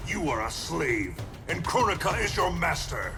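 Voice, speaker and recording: A man's voice: a little high, very animated, normal speed. A man playing a distrustful, wrathful god in a dramatic video game, with commanding, declamatory speech. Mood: accusing. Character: wrathful, distrustful thunder god protecting Earth. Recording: loud cinematic music behind.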